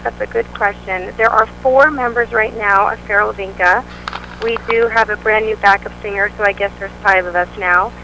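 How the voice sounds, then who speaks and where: Bright, chatty woman singer. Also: medium pitch, fairly even intonation, moderate pace, a little hiss or hum, low-quality recording. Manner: upbeat, chatty, many fillers. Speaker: band vocalist, interview guest. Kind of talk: casual interview answers.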